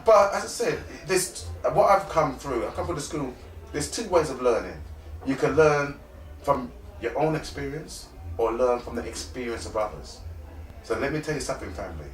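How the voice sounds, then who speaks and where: A man's voice: medium pitch, lively intonation, normal speed, faint music behind. Echoey, distant London man telling his story. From North London, born in Trinidad and Tobago. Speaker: interview guest, conflict engagement specialist and mediator. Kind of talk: personal storytelling in an interview. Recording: echoey room, distant mic.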